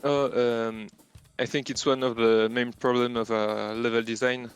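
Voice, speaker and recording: A man with a French accent, a native French speaker, answering casual interview questions haltingly as he searches for words. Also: medium pitch, little pitch movement, clean tone, faint music behind. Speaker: interview guest, game programmer.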